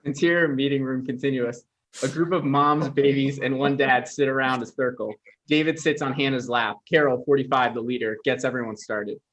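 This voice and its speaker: A man's voice: medium pitch, fairly even intonation, moderate pace, fairly bright tone, poor audio. A man reading stage directions as scripted narration for a comedy table read.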